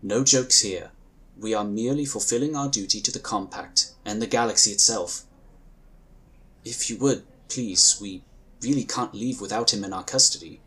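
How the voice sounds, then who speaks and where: A man playing a composed, dutiful psychic agent in a sci-fi audio drama, speaking in a formal, earnest, measured way. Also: on the low side, pushed and bright. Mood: calm, insistent.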